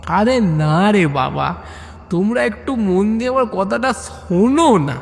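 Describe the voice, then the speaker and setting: A man's voice: sits high, expressive, slow, creaky, full character voice. Man putting on a stammering, insistent young club lad. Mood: frustrated. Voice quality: heavy stammer on p and m sounds. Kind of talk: comic audio story acting. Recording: long reverb, music behind.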